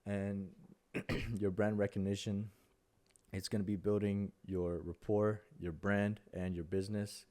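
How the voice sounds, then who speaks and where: Young mexican guy, spanish accent, low deep voice, kinda monotone, rambles a lot with lots of uh and you know. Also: measured pace. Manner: rambling, many fillers and restarts. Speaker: content creator. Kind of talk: casual talking-head advice.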